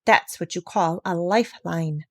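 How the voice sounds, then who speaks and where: A woman voicing a shrewd, bossy old female parrot who gives brisk orders in a storybook. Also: fairly low pitch, a bit bright. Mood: commanding. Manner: brisk and commanding.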